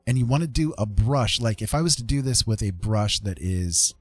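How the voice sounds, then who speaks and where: A man hosting a casual livestream, rambling informally as he demonstrates digital art, with a husky voice. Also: lowish voice, normal speed, dry recording. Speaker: livestream host.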